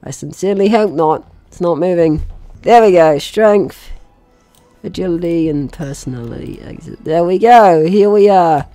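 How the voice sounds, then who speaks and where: A woman's voice: fairly low pitch, normal speed, warm tone, dry recording, faint music behind. A woman giving casual, rambling and playful let's play commentary as host, swearing now and then. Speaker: gaming video host.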